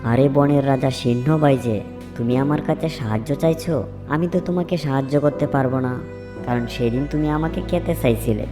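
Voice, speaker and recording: A man's voice: mid-range voice, moderate pace, pitched above the performer's own voice, lightly acted. Man as a wary little cartoon forest animal. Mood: wary, refusing. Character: wary forest animals: crow, fox and heron. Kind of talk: children's fable cartoon dialogue. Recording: loud music under the voice.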